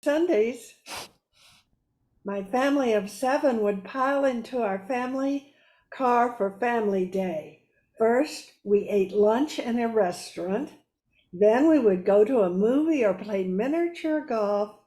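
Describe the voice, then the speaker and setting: A woman's voice: fairly high pitch, animated, unhurried, dark tone, poor audio. An older woman reading a scripted personal reminiscence for a radio show. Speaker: radio show cast member.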